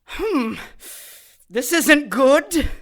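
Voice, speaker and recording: A gloating villain, acted by a woman, speaks slowly in a high voice for a radio drama. The delivery is theatrical and taunting, savoring every cruel word. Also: expressive, heavily acted. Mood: rattled, smug. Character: gloating sadistic male villain with magic staff. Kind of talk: audio drama acting.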